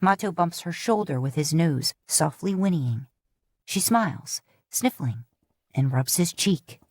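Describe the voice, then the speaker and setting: A woman reading a fanfiction audiobook in a steady, measured storytelling manner. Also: sits low, husky, no room sound, studio quality. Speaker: audiobook narrator.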